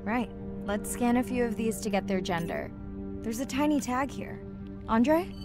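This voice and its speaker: A woman's voice: a little high, lively intonation, measured pace. An actress playing an earnest, caring woman marine scientist and diver in a video game. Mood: curious, playful. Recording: music behind. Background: loud music.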